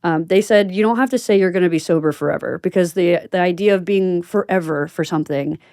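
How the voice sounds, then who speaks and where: A woman's voice: lowish voice, fast talker, a little mellow, no room sound, clean studio sound. A woman hosting a podcast in a casual, chatty interview style with informal, conversational phrasing. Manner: informal and chatty, frequent "like" and "you know". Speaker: podcast host.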